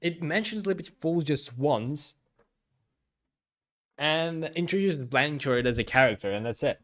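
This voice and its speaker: A man giving casual live stream commentary, thinking aloud haltingly and repeating himself. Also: fairly high pitch, animated, fairly bright tone.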